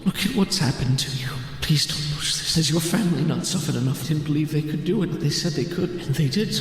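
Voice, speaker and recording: A man's voice: a little high, expressive, quick pace, pitched above the performer's own voice. A man voicing a guilt-ridden grandfather in a tabletop game, a memory apparition who pleads urgently in broken phrases. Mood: grave, insistent. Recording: cavernous echo and long reverb over music.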